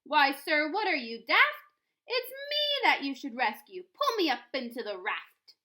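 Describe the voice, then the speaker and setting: A woman voicing a gruff, selfish male circus owner who barks loud, demanding orders in a storybook. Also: high-pitched, huge pitch swings, measured pace, heavily acted. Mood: bossy, indignant.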